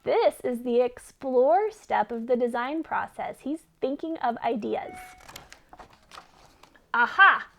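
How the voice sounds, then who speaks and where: Woman doing a kids read-aloud, high voice, takes her time, really expressive, teacher style. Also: measured pace, vocal fry, few pauses, a little hiss or hum. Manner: expressive, emphatic storytelling. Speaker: storyteller and teacher. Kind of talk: children's read-aloud with questions to listeners.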